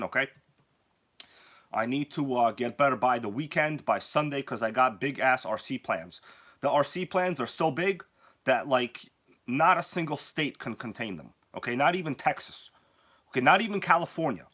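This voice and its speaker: A man's voice: mid-range voice, bright, forceful tone. A man hosting a casual live stream while sick with a cold and nearly losing his voice, joking around and greeting viewers by name.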